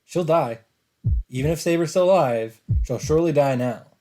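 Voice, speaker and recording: A man's voice: a little high, moderate pace, warm tone, slight background noise. A man let's player reading visual novel narration dramatically, with casual commentary of his own. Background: game music.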